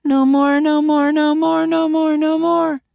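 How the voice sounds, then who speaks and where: A man's voice: very high voice, flat delivery, a little slow, gravelly, darkish tone, dry recording, studio quality, pitched above the performer's own voice, exaggerated voice. A man voicing a frightened small boy under hypnosis, droning and pleading in repetition.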